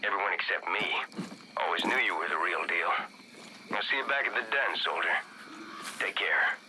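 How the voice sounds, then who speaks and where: A hard militant cult commander speaks in a low, clipped, commanding voice. It is video game villain dialogue, with very loud noise all around him. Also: male voice, animated, moderate pace. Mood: gruff approval.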